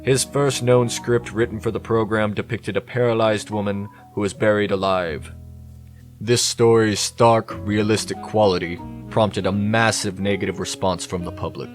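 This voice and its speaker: Low-voiced male narrator over guitar music. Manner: measured, formal. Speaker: radio show presenter. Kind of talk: scripted documentary narration.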